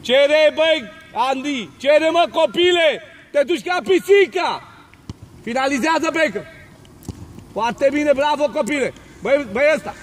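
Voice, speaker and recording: A man coaching football, calling out loud, urgent short commands and praise during a training drill. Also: very high voice, a little hiss or hum. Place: outdoors on a football training pitch.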